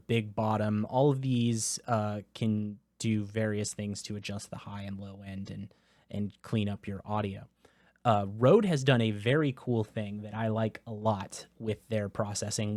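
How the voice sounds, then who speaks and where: A man casually walking through software settings in a product review, talking into a close dynamic USB microphone. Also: fairly low pitch, dry recording. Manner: casual, explanatory, with filler words. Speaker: tech reviewer.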